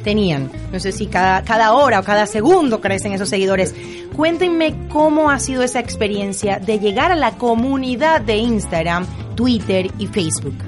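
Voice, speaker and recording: A woman hosting a radio show, chatting directly with listeners and guests in a lively conversational way. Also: mid-range voice, normal speed. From Venezuela. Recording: music behind the voice. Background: music.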